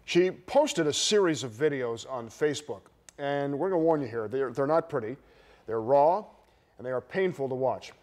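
Middle-aged male anchor, medium pitch. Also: lively intonation, normal speed, a little mellow. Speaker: news anchor. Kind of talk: news presenting and desk discussion. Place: TV news studio.

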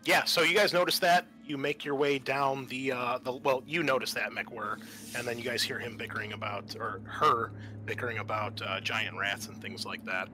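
Bright-voiced man running a tabletop game. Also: mid-range voice, steady tone, faint music behind, poor audio. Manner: halting, conversational. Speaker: game master. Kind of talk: improvised tabletop game narration. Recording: faint background noise.

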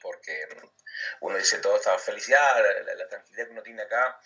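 A man's voice: lowish voice, fairly quick, pushed and bright, husky, recorded from a distance, poor audio. A middle-aged Argentine man chatting as an interview guest, stumbling and repeating words as he thinks aloud, and laughing easily. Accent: Argentine Spanish. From Argentina, Comodoro Rivadavia. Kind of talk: casual chat.

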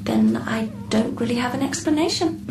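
A woman's voice: a little high, animated, natural voice. Woman as a poised AI, echoey and distant. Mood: cool, enigmatic. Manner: formal, measured, precise phrasing. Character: poised, precise, enigmatic artificial intelligence. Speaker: actor in a radio drama. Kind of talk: radio drama acting. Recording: echoey, distant voice with background noise.

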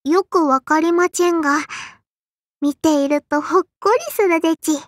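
A woman's voice: very high voice, normal speed, dry recording. A woman voicing a small, strict and prim sparrow-spirit girl in an anime game, with a childlike lisp and polite but scolding speech. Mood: softly curious. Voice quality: childlike, lisping. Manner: polite but scolding, quirky verbal tic. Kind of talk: anime game character voice lines.

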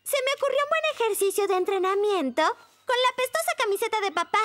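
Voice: animated, a little fast, a bit bright. An actor playing an eager, insistent young girl with a childlike voice in a cartoon dub. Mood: keen. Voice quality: high, childlike. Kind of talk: animated cartoon dubbing.

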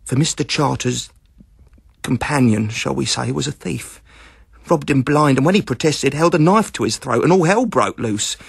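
A man's voice: medium pitch, lively intonation, normal speed, creaky, husky, dry recording, studio quality, pitched above the performer's own voice. Earnest, polite teenage lodging-house lad played by a male reader, confiding and deferential, audiobook. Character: earnest, deferential teenage boy of seventeen, landlady's son.

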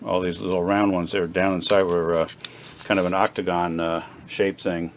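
A man giving a casual hands-on demonstration, thinking aloud in a halting, unhurried way. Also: low voice, level tone. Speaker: hobbyist radio repairer demonstrating equipment. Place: indoor home electronics workbench. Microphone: handheld camera mic. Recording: noisy handheld camera recording in workshop.

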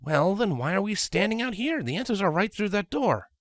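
A woman's voice: medium pitch, very animated, fairly quick, dry recording, clean studio sound, pitched above the performer's own voice, natural voice. A woman voicing a dutiful young male dragon assistant in a storybook reading, matter-of-fact, insistent and exasperated.

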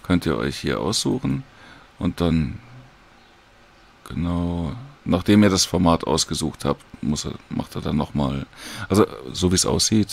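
A man casually and hesitantly talks viewers through a screen recording in an informal, unhurried tutorial. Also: deep voice, gravelly. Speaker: audiobook creator giving a download tutorial.

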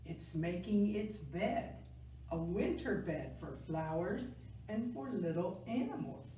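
An older woman reading a picture book aloud to children in a gentle, deliberate way, stressing key words. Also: on the low side, lively intonation. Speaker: library story time reader. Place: small indoor room, seated. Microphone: camera a few metres away. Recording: echoey room, distant mic, faint noise.

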